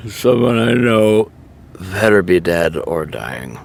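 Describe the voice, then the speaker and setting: Warren: a man playing a deep, sardonic night owl. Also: expressive, drawn-out words, gravelly, full character voice. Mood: groggy. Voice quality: dry, sarcastic. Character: sarcastic, sleepy young man who hates mornings. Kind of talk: audio drama acting.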